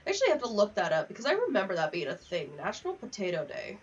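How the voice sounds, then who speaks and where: A woman's voice: mid-range voice, wildly swooping pitch, racing, husky, recorded from a distance, highs cut off. A woman livestreaming a game with casual, candid chat, swearing freely in a wry way and reading bits of text aloud. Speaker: livestream host. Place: home office.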